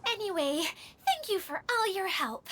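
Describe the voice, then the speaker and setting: A woman's voice: very high voice, very animated, moderate pace, fairly bright tone, heavily acted. A woman voicing an earnest, self-doubting young female mechanic in a video game, polite and anxious. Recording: faint game ambience behind.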